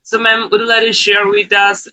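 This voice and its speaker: A man hosting a video-call conversation in learner English, speaking slowly, carefully and politely. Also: high voice, completely flat delivery, pushed and bright, rough recording. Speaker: host.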